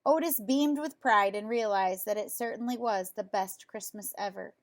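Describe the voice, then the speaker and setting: A woman reading a children's picture book aloud in an expressive way, as if to young listeners. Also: medium pitch, moderate pace. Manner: expressive, explains words simply to children. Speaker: storybook reader.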